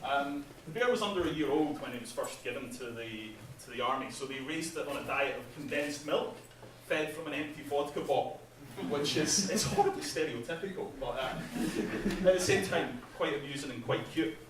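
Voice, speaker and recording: A man giving a chatty conference talk about his game, laughing at his own jokes and filling pauses with ums. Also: fairly high pitch, animated, crowd noise. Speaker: game developer presenting at an industry event. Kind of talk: casual conference presentation. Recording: echoey hall, speaker far from mic, faint noise.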